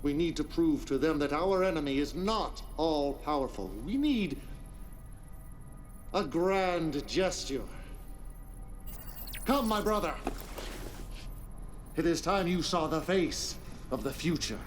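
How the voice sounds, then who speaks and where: Grandiose, theatrical cult prophet. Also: male voice, fairly high pitch, lively intonation, soft background music. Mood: solemn, persuasive. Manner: measured, theatrical, commanding. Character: zealous, grandiose male prophet leading a militant cult. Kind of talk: scripted video game cutscene acting.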